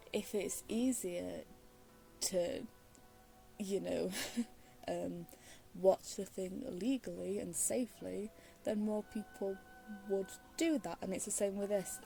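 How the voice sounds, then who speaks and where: Casting: woman game streamer, mid-pitched soft dark tone, slow, rambling, casual commentary. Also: vocal fry, low-quality recording. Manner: hesitant, rambling, giggly. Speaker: gaming streamer.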